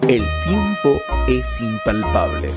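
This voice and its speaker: A man's voice: medium pitch, wildly swooping pitch, slow pace. A man delivering a poetic scripted introduction to a radio show as its announcer. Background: loud music.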